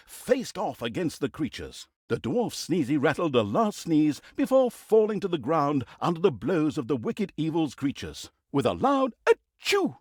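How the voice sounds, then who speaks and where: Wildly expressive, sardonic storybook narrator. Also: male voice, a little high, dry recording, studio quality. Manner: theatrical, sardonic, mock-grand. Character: wry, theatrical male storybook narrator mocking heroes and villains. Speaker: game narrator. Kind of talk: scripted comic storybook-style narration for a fantasy video game.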